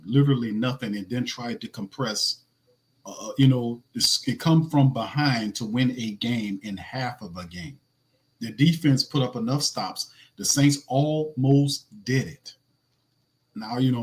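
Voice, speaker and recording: Raspy guy hosting a sports pod, casual slangy talk, reads off stats. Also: mid-range voice. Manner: loose, slangy, restarts a lot, reads stats. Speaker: podcast host.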